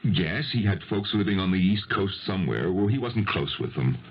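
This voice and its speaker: A man's voice: low-pitched, expressive, normal speed, breathy edge, exaggerated voice. An actor playing a fearful, suspicious rich businessman in a 1970s radio mystery, stammering and agitated. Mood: shaken. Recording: muffled, noisy old radio broadcast tape.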